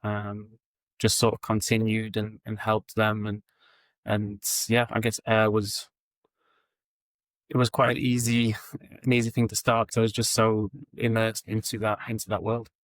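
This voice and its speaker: Male guest, low and flat delivery, casual and steady. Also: unhurried, no echo. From England. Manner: relaxed, steady, frequent ums. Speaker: guest, recruitment founder. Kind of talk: casual interview answers.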